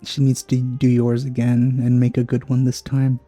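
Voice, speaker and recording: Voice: mid-range voice, completely flat delivery, normal speed, mellow, faint music behind. A let's play commentator chatting casually over a game in an amused, offhand way.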